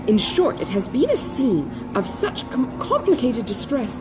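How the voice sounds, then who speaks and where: A pompous married gentleman from a classic novel, acted out by a woman narrator. He speaks in solemn, long-winded, self-righteous periods, with pitch that rises and falls widely in aggrieved emphasis. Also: sing-song, measured pace, music in the background, rough recording. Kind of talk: classic novel dialogue in audiobook reading.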